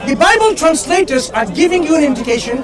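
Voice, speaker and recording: A man's voice: very high-pitched, sing-song, quick pace. A man debating on the street, insistent, repeating himself to keep the floor. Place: outdoors in a city. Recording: crowd noise outdoors. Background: crowd of onlookers talking.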